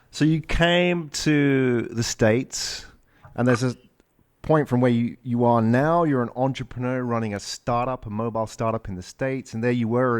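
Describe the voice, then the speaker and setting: Male podcast host, friendly interviewer, leading questions. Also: mid-range voice. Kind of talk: interviewing an entrepreneur.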